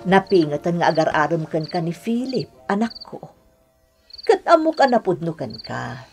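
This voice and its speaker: A woman's voice: lowish voice, big pitch swings, normal speed, gravelly, darkish tone, soft background music. An actress with an Ilocano accent playing a caring, pleading middle-aged mother and new grandmother in a radio drama. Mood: gentle urging. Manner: earnest, coaxing.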